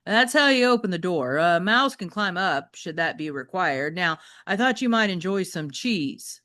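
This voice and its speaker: A woman's voice: on the low side, lively intonation, moderate pace, a bit bright. A woman voicing a confident, courteous male robot dog with a formal, instructive way of talking in a children's story. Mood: helpful, formal. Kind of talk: children's novel read aloud.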